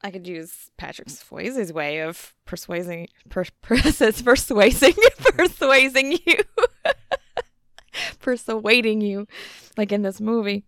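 A woman's voice: medium pitch, expressive, takes it slowly, fairly bright tone, smooth, no room sound. Woman cohost on a movie podcast, playful, laughs a lot, dry close sound. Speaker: podcast co-host. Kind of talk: casual movie podcast chat.

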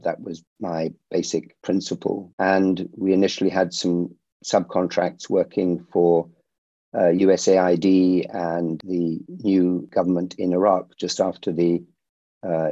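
Deep, mellow-voiced man in an interview. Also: steady tone, no echo, dull audio. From India. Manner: measured, with frequent restarts and fillers. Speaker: private security company founder. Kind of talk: interview answers.

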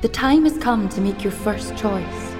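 A woman playing a solemn fairy-tale narrator in an interactive audio drama, measured and portentous as she guides the hero. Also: mid-range voice, lively intonation, over music, natural voice.

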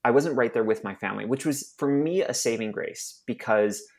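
A young adult man from Arizona, a nonprofit staff member, telling his personal story in an interview, halting and emotional with many restarts. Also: medium pitch, a little mellow.

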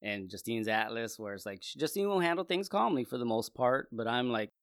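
Male interviewer, average pitch, informal and expressive, casual conversational hosting. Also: a little fast, smooth. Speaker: host.

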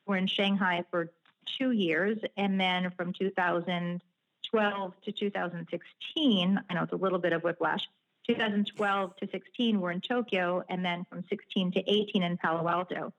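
A woman whose first language is Japanese answers an interviewer, measured and articulate, laying out details one by one. Her voice sits on the low side, and the recording sounds dull and lo-fi. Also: fairly even intonation. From United States. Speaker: guest, author and journalist.

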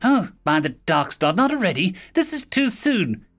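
A man voicing a stern, commanding female alien head nurse in a storybook reading, raising his voice high above his own. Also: big pitch swings, normal speed, a bit bright, faint music behind, heavily acted. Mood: alarmed. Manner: commanding, urgent.

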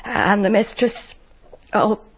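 An actress playing a dutiful, plain-spoken English household cook in a radio drama, deferential and halting. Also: female voice, medium pitch, fairly even intonation, breathy edge. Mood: shaken. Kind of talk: period radio drama acting. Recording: dull lo-fi radio drama recording.

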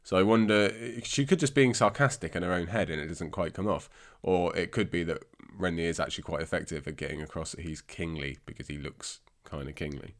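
A man's voice: deep voice, brisk, few pauses. A man from England hosting a book podcast in a chatty, self-deprecating way, his voice congested and his throat scratchy from a cold. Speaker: podcast host. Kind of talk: casual book podcast monologue.

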